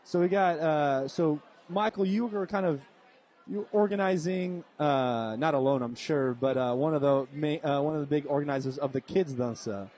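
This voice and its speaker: A man's voice: fairly high pitch, moderate pace, a little mellow. A man hosting a casual podcast interview at a live event hall, upbeat and laughing often. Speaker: podcast host.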